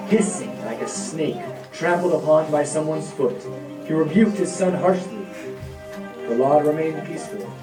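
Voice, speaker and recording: A man narrating a scripted puppet show story in solemn, measured phrases. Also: on the high side. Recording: echoey, distant, music behind.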